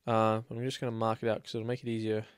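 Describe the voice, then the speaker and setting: A man's voice: on the low side, completely flat delivery, fast talker, smooth, no room sound, studio quality. A man with a slight cold, chatting casually and rambling as he plays a building game. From Australia. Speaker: let's play host. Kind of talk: casual gameplay commentary.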